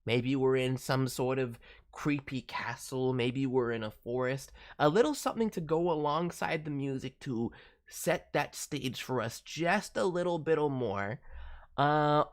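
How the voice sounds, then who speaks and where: A woman's voice: rumbling low, slow. A woman working as a voice director, giving a casual spoken critique with advice in an emphatic manner. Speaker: voice director reviewing demo reels.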